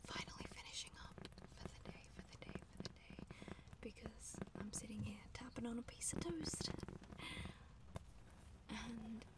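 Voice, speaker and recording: A woman's voice: a little high, normal speed, distant mic. A young woman whispering a rambling, reassuring ASMR chat while sitting in her parked car. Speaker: ASMR creator. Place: parked car, rain outside.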